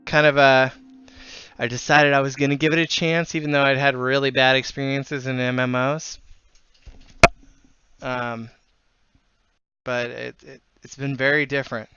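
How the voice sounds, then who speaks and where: A man's voice: mid-range voice, little pitch movement, moderate pace, long pauses, sharp tone, clean tone, highs cut off. A man live streaming a game, chatting casually and in a friendly way with viewers as he plays. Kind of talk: casual game livestream chat. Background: music.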